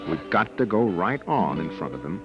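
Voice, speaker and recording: Soothing but insistent husband on the run, hugely animated, vintage radio drama, loud music behind. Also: male voice, low-pitched, normal speed, lo-fi sound. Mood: tense, hushed urgency. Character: reassuring husband, fugitive scientist hiding his nerves. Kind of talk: 1950s American radio drama acting.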